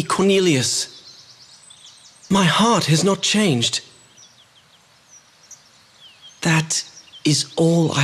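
An actor playing an earnest young prince turned into a rabbit creature, speaking resolutely and tenderly. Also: male voice, fairly high pitch, long reverb tail. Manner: earnest, resolute, gently pleading.

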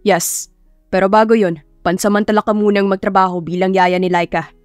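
A woman's voice: mid-range voice, level tone, a little fast, dry recording, soft background music, natural voice. Woman as a stern, grumpy male CEO. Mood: serious. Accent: Filipino. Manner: curt, stern. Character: grumpy, commanding, teasing male company CEO, a father.

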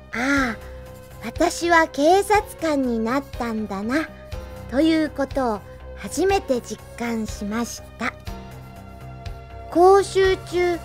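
Very high-voiced girlish rookie policewoman. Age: young adult. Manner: thinking aloud while writing a diary. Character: young policewoman, earnest, girlish, scatterbrained rookie robot pilot. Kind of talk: anime radio drama acting.

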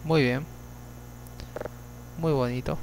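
A young man giving chatty gameplay commentary, talking to himself, swearing at the game and breaking into sudden yells. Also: medium pitch, steady tone, slow, rough recording. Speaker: let's play gamer.

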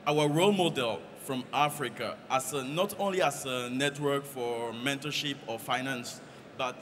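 A man's voice: mid-range voice, a bit bright. A young man whose first language is French, earnestly asking a question from the audience of a conference into a handheld mic, with hesitant fillers. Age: young adult. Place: large conference hall in the audience. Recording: reverberant hall, faint noise.

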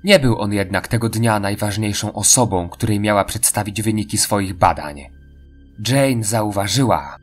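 A man narrates an audio drama from a script. His voice is fairly low with a husky, breathy grain. Light music runs behind him.

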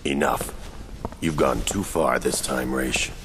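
An actor playing a grim masked vigilante detective in a video game, terse, stern and controlled. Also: male voice, rumbling low, steady tone, takes it slowly, background noise. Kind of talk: video game hero acting. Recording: long hall reverb, music behind.

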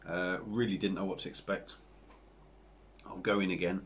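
English man in his forties, very low voice, rambling relaxed chat at a home bar, faint room hum. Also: normal speed. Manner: chatty, rambling, relaxed, with frequent fillers. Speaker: beer reviewer. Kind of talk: casual to-camera review.

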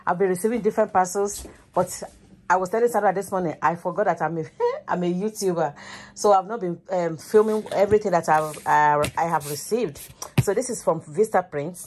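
A woman's voice: lowish voice, a little fast, a little mellow, slight background noise. Chatty fifty-year-old woman vlogging by phone. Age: 50s. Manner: chatty, thinking aloud, laughs easily. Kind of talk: casual chatty vlog. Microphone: phone at arm's length.

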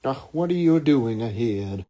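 A performer voicing a gloating, deliberate cartoon villain in an amateur game dub with a noisy recording. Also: mid-range voice, expressive, mellow. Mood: irritated. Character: gloating cartoon villain stealing a twin's place.

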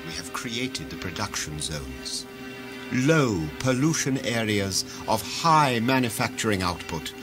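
An actor playing an imperious head of a world-ruling cartel in a sci-fi audio drama, grandiose and commanding like an orator. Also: male voice, lowish voice, sing-song, unhurried, over music. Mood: proud, insistent.

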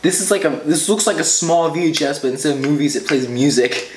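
A man's voice: fairly high pitch, brisk. A teenage boy chatting casually to viewers, enthusiastic and rambling, often repeating himself, recorded indoors a short distance from the camera. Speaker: vlogger. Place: small room indoors at a table. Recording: roomy echo, clear background noise.

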